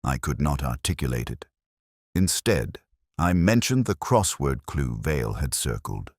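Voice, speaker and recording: Man, extremely low voice, lively intonation, measured brooding detective narration, close dry sound. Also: slightly rough, no echo. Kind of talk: scripted first-person detective narration.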